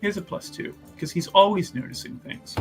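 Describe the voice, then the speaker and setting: A man playing a tabletop roleplaying game, casually making up his character's story in an animated way. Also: sits high, a little fast, faint music behind. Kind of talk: casual improvised storytelling, describing own character.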